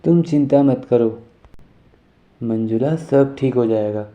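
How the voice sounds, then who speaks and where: A man voicing a caring, reassuring young husband in an animated moral story, with a gentle consoling manner. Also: mid-range voice, takes it slowly, lo-fi sound, lightly acted. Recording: music under the voice.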